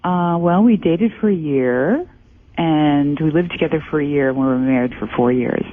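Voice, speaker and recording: A woman's voice: sits low, normal speed. Over a tinny phone line with some faint noise, a woman talks casually as a call-in therapist. She is candid and playful, chatting easily with the hosts. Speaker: therapist calling in to a radio show.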